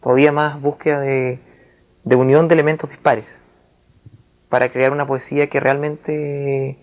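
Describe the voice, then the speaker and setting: A man speaking as a writer in a reflective literary interview, with formal wording and a halting, searching manner. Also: medium pitch, little pitch movement. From Chile.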